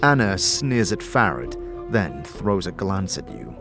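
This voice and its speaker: Expressive male narrator over music. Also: sits low, measured pace. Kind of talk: dramatic scripted narration of fantasy audio drama.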